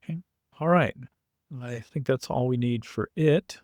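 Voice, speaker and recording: A man's voice: mid-range voice, measured pace, a little mellow, dry recording, clean studio sound. A man teaching in an informal step-by-step screen tutorial, thinking aloud as he works. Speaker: coding tutorial instructor.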